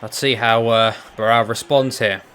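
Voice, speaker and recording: A young man casually commentating a fight video game, excitable and breaking into shouts at big moments. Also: mid-range voice, normal speed, smooth.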